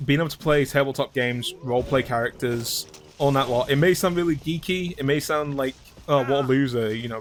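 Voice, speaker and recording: A man's voice: mid-range voice, fairly bright tone, slight background noise. A man streaming a video game from home, chatting casually in a rambling, hesitant way, candid and blunt with casual swearing. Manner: rambling, hesitant, full of fillers and restarts. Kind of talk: casual chat while playing a video game.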